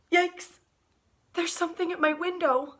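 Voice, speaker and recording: A woman voicing an earnest, excitable young boy who owns a goldfish, in an expressive storybook reading. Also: very high voice, slow pace, pitched above the performer's own voice, heavily acted. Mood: startled. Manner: expressive, childlike storybook telling.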